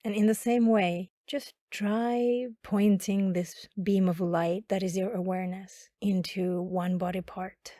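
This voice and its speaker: A woman guiding a body scan meditation in a calm, instructional manner with long pauses. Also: mid-range voice, dry recording, clean studio sound. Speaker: meditation guide.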